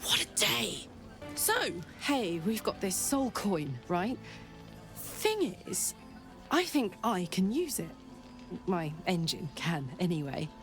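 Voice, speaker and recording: Hell-escaped female soldier, British accent, hearty, blunt, mid-pitched, fantasy video game, strong music behind. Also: slow pace. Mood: upbeat. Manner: chatty, blunt, earnest, expressive. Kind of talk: video game character acting.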